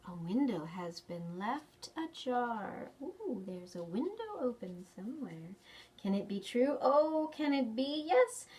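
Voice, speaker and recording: A woman hosting a children's storytime, reading picture books expressively and chatting to young children with questions. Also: fairly high pitch. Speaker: children's librarian storytime host. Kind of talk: animated picture-book reading and chat for preschoolers.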